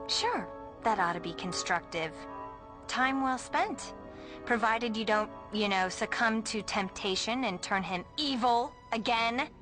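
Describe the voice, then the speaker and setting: A woman's voice: a little high, animated, measured pace, over music. An actress playing a haughty, tactless teenage girl with an American accent in a video game, sarcastic and snippy. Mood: scornful.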